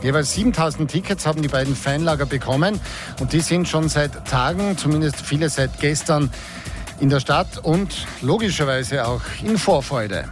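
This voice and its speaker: A man's voice: fairly high pitch, normal speed, over music. A man presenting on regional radio, loose and chatty with small fillers. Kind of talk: live radio moderation.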